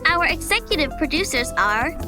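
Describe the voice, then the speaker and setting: High, lively woman announcer. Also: normal speed. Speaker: announcer reading closing credits. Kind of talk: scripted credits read. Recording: prominent music behind the voice.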